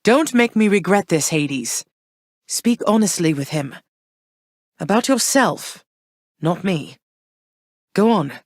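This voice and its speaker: An actress playing a warm yet firm, gently wry mother goddess in a video game, speaking in measured, formal, old-fashioned phrases. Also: deep voice, no echo, studio quality. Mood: stern, exasperated.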